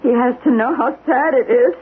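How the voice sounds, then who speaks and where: A woman's voice: high voice, moderate pace, slightly rough. An actress in an old-time radio drama playing a distraught, unstable woman psychiatrist who pleads insistently and tearfully, repeating herself. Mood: agitated pleading. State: tearful, on the verge of crying. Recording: tinny, noisy old radio broadcast.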